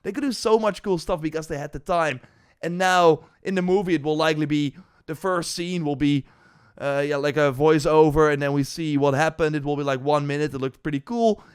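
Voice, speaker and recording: A man giving casual, unscripted gaming commentary in a halting, rambling way, with animated emphasis and little acted-out asides. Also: fairly high pitch, smooth. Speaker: gaming news streamer reacting to announcements and chat.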